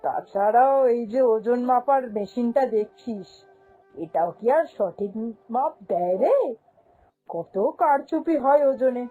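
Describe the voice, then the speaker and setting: High-pitched, scornful cartoon shop boss played by a woman. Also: lively intonation, unhurried, narrow, tinny audio, faint music behind. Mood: scheming, bossy. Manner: scolding, dismissive, haughty. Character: greedy, domineering, corrupt middle-aged female ration shop boss. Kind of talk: cartoon fairy-tale voice acting.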